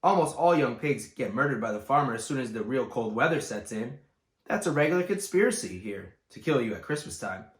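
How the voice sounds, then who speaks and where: A man's voice: mid-range voice, expressive, brisk, echoey room, natural voice. A man doing a blunt old ewe. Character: blunt old female sheep, gloomy and tart.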